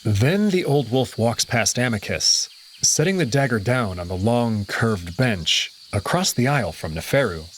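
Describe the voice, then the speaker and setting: A man narrating a visual novel in the first person with an expressive, dramatic reading. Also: mid-range voice, measured pace, a little hiss or hum.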